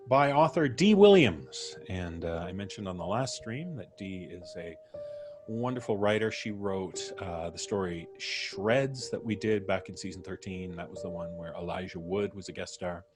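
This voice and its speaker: Low-voiced man hosting a live stream. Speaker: podcast host.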